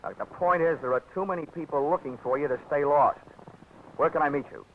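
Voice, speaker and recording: A man's voice: a little high, fairly quick, lightly acted. An actor playing a hard-boiled male insurance investigator who talks in dry, easygoing wisecracks. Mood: wisecracking. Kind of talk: 1940s radio acting. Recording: tinny 1949 radio broadcast with faint hiss.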